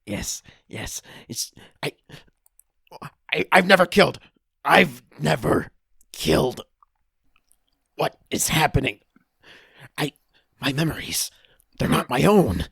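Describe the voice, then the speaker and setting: A man acts out a confused, fearful android in a sci-fi improv comedy. The speech stumbles and slips into breathy whispers, unhurried and very dry in sound. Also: fairly high pitch, very animated, a bit bright, no echo, heavily acted. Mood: frightened, confused. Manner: halting, stammering, breathy whispered protests. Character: confused, frightened android accused of murder, named Igor.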